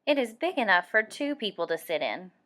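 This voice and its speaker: A woman reading a picture book aloud for young children, animated and deliberate, acting out the sound effects. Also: medium pitch. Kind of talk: expressive read-aloud storytelling for young children.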